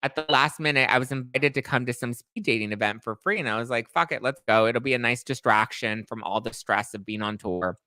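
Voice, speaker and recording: Man, late twenties, live stream host, candid, chatty, mid pitch, dry close sound. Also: a little fast, hardly any pauses, bright, forceful tone, no room sound. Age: 20s. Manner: chatty, candid, reads viewer comments aloud and answers them. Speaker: podcast host reading live chat. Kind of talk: casual live-stream chat.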